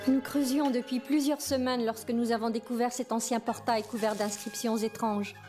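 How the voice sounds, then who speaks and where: A woman's voice: a little high, flat delivery, moderate pace, dark tone, lightly acted. An actress playing a determined, hopeful mother in a cartoon, speaking earnestly and insistently. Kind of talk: dubbed cartoon acting. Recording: music behind the voice.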